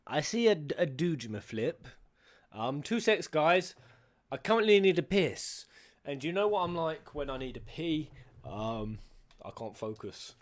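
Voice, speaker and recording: A man's voice: on the high side, very animated, measured pace. A man giving casual, playful, sweary and theatrical reaction commentary as he streams game cutscenes. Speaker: streamer, gaming host.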